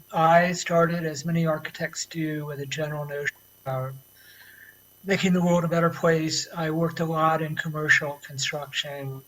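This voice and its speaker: A man from Florida with an American accent talks in a slightly raised register. He is an architect and academic explaining his work in an online interview, thoughtful and unhurried, with frequent pauses. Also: on the high side, a bit bright, low-quality recording. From Florida, USA.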